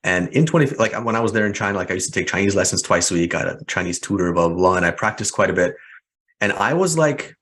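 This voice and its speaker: This is a deep-voiced man in his forties who hosts a casual podcast. He talks in a friendly, rambling way and piles on fillers and restarts as he tells stories. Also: lively intonation, fast, fairly bright tone, a little hiss or hum. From Hungary, raised in Canada. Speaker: podcast host. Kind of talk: casual interview chat.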